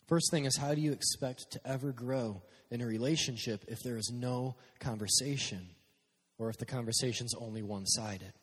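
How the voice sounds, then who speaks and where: A man preaching a casual, energetic sermon to young people on a stage microphone, dropping to quiet prayer at times. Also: medium pitch, moderate pace, slightly rough, in a large hall. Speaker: youth pastor. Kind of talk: youth sermon. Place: church hall with a live sound system.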